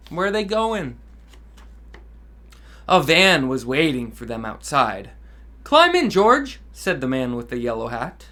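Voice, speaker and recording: A man, a dad, reading a children's picture book aloud with enthusiastic, expressive delivery and asides to kids. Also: a little high. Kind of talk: children's read-aloud storytelling.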